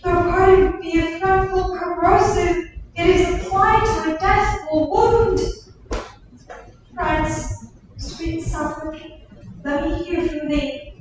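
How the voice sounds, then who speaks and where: A woman's voice: extremely high pitch, slow, pauses often, background noise. A woman playing a grieving, devoted queen in a staged Shakespeare reading, reading the verse earnestly. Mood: sorrowful. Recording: echoey room, far from the mic.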